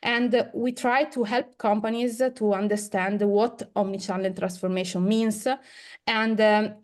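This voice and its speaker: Slow, hesitant woman on a video call. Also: medium pitch, fairly even intonation. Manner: slow, hesitant, many fillers. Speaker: research director, guest. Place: office room with windows.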